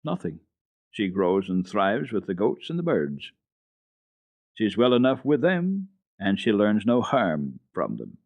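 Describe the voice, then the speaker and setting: A man's voice: fairly low pitch, wildly swooping pitch, a little slow, creaky, no room sound, dull audio, heavily acted. A man voicing a stubborn, bitter old mountain grandfather in a storybook reading, speaking firmly and bluntly. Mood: curt, decided. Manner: decided, firm, blunt.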